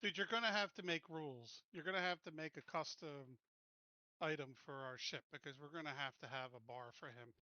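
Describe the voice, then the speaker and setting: A man with a fairly high, bright voice chats casually as a game player. The recording is dry and slightly dull. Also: fairly quick, dry recording. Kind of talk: casual chat.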